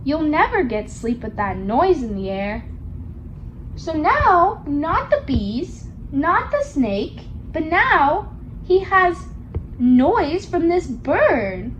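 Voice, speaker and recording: A woman's voice: sits high, big pitch swings, slow, dull audio, a little hiss or hum. A woman reading a picture book aloud to a young child, coaxing along with questions in a sing-song, child-directed way. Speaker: storybook reader for a young child.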